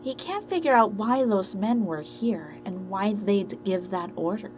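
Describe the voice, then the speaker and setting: A woman narrates a dramatic story from a script. Her voice sits at a medium pitch, and there is faint noise and hum behind her. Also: lively intonation, measured pace, darkish tone.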